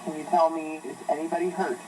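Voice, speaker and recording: A man's voice: fairly high pitch, flat delivery, fast talker, mellow. A man playing a businesslike police dispatcher on a phone line, asking controlled, procedural questions in a horror drama. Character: businesslike police emergency dispatcher taking a call. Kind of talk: emergency call horror drama. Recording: noisy emergency phone call recording.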